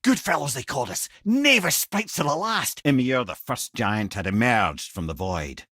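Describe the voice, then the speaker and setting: A man's voice: medium pitch, big pitch swings, normal speed, a bit bright, slightly rough, dry recording, studio quality, heavily acted. An actor voicing a wise, wry older Scottish man, a talking severed head in a video game, with a theatrical, folksy manner. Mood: storytelling. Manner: wry, theatrical, folksy, addresses listeners as brother and lad. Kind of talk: expressive video game character acting, storytelling and banter.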